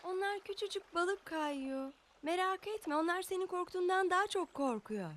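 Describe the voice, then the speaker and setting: A woman's voice: extremely high pitch, lively intonation, moderate pace, fairly bright tone, a little hiss or hum. An actress playing a kind, patient young mother in a preschool cartoon dub, affectionate and soothing with her children. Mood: cheery, reassuring. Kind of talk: Turkish dubbed preschool cartoon acting.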